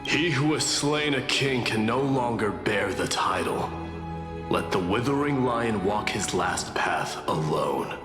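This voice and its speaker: An actor playing a proud, solitary last sovereign in a video game, solemn and imperious. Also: male voice, on the low side, expressive, slow pace. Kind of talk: scripted game voice acting. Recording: cavernous echoing reverb, music behind.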